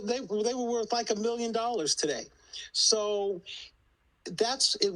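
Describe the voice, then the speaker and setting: Woman, 60s, bright voice, earnest storyteller in a group talk. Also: fairly high pitch, low-quality recording. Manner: earnest storytelling, urging others to speak up. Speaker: discussion participant. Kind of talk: group discussion.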